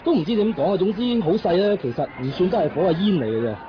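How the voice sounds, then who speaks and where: An actor playing a brisk, dismissive fire brigade captain in a 1990s Hong Kong Cantonese radio drama. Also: male voice, high-pitched, sing-song, crowd noise. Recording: muffled radio recording with clear background noise.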